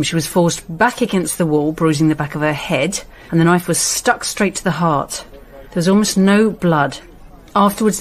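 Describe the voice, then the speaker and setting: An actress playing an efficient British police detective in a radio play, speaking briskly and factually. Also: female voice, on the low side, natural voice.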